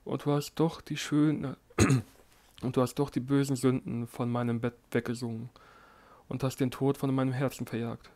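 A man voicing a frightened, dying old emperor in a storybook reading, calling out in a high, strained voice. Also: little pitch movement, moderate pace, darkish tone, natural voice. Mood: grateful. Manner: high strained cries, pleading.

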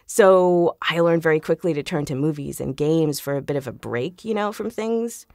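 A woman talking casually and chattily as an interview guest, telling stories in an animated way. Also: low voice, moderate pace, no echo, clean studio sound. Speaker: podcast guest, video game voice actor.

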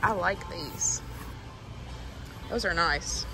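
A woman's voice: fairly low pitch, very slow, creaky, over music, low-quality recording. A woman with a Southern US accent chatting casually as a shopping vlogger, informal and gushing about products and prices. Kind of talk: casual vlog chat, remarking on products and prices.

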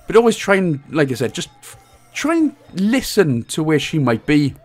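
A man's voice: fairly high pitch, sing-song, moderate pace, soft background music. A man with a Welsh accent giving casual, chatty, slangy walkthrough commentary on a video game as the guide's host. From Wales.

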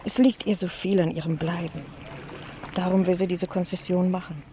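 A woman's voice: fairly low pitch, steady tone, normal speed, dark tone, slight background noise, close to an everyday voice. A woman playing a timid, sheltered, middle-aged niece in a German radio drama, speaking in a hesitant, subdued way. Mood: guarded, shy.

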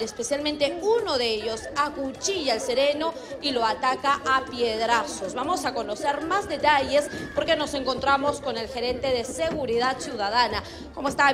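High-voiced Peruvian woman reporter. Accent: Peruvian Spanish. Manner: brisk, formal reporter delivery. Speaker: field reporter. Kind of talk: live news reporting. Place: outdoors on a city street. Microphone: handheld mic.

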